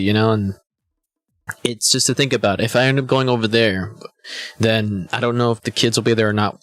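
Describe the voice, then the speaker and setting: A man's voice: on the low side, fairly bright tone, dry recording. A man in his twenties chatting casually to his live stream viewers, a bit hungover, rambling and laid-back with lots of "man" and "you know.". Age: 20s. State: a little hungover.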